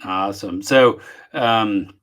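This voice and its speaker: A man from Southeast Texas with a Texan accent, hosting a casual podcast interview from his home office, talking in a relaxed, rambling way with lots of fillers. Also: on the low side, slow. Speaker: podcast host.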